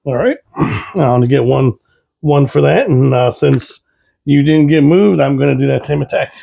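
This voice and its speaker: A man's voice: mid-range voice, moderate pace, gravelly, dark tone. A man hosting a tabletop game, talking casually through moves and dice rolls while losing his voice. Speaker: host.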